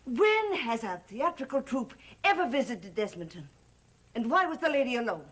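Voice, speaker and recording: An actress playing a stern, suspicious housekeeper, formal and clipped, in a gothic soap opera. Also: female voice, fairly high pitch, big pitch swings, normal speed, fairly bright tone, husky, full character voice. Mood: cold disapproval.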